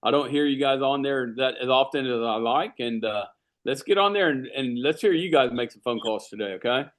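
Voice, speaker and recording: A man's voice: medium pitch, brisk. A man leading an insurance team's training call, talking loosely with many fillers and restarts. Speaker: insurance agency leader running a team training call. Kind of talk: casual team meeting talk.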